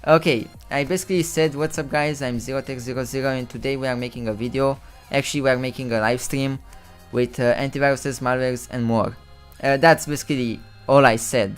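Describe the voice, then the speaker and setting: A young man with a Romanian accent talks through a live stream in English, his second language. His voice is smooth and clean, and he rambles, restarts and trips over words now and then. Soft music plays faintly behind him. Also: mid-range voice, steady tone, brisk. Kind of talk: casual live-stream commentary.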